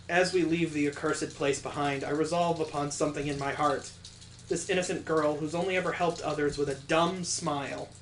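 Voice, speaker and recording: A man voicing a coldly cruel, vengeful young killer who narrates a visual novel in a grim, deliberate, dramatic reading. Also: on the high side, slight background noise, close to an everyday voice. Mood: grim resolve. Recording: roomy, echoing sound.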